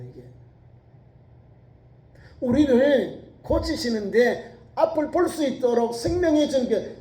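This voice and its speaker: A middle-aged male pastor with a regional Korean accent delivers a sermon in a soft, mellow voice. He leans on rhetorical questions and swells to near-shouts when quoting scripture. The sound carries the roomy echo of a church sanctuary. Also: very high voice. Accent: Korean regional dialect. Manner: emphatic preaching with rhetorical questions, rising to shouts on quoted scripture.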